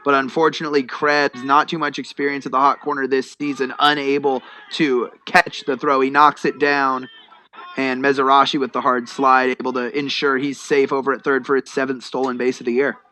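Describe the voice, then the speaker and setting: Bright, forceful American color commentator, male. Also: medium pitch, level tone, fairly quick, low-quality recording. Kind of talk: conversational sports analysis.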